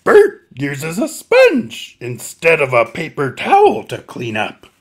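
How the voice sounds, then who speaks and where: A man voicing an excitable furry little monster puppet, reading a children's picture book in a strained, throaty voice. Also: a little high, voice pushed up, full character voice. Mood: earnest, instructive. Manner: emphatic, swooping, deliberate reading to small children.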